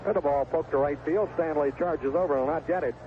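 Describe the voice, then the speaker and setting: A man's voice: on the high side, fairly quick. Tinny vintage radio baseball announcer, man. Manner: folksy, colorful turns of phrase. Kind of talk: live play-by-play sports commentary. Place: outdoor ballpark broadcast booth. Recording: tinny, noisy 1970s AM radio broadcast.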